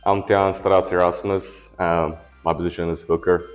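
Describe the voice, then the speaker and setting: A South African-born rugby player talking casually on a panel in short, plain sentences with a dry humor. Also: male voice, low voice, flat delivery, moderate pace, soft background music. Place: indoor room with a branded backdrop.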